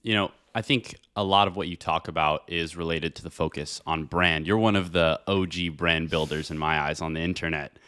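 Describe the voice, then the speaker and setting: Male interviewer. Deep register, clean resonant tone, conversational questioning. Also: steady tone, brisk, few pauses, bright, forceful tone, smooth. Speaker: interviewer, podcast host.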